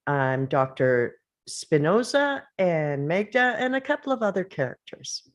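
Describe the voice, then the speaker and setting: Older woman, low pitch, casual self-introduction. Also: sing-song, a little slow. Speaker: actress, table read participant.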